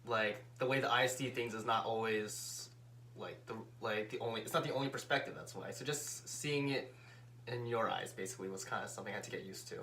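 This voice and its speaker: A man's voice: on the low side, brisk, pushed and bright, slight background noise, rough recording. A man speaking casually on a couple vlog with his fiancée, thoughtful and hedging as he searches for his words. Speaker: co-host, the vlogger's fiancé. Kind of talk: casual chat.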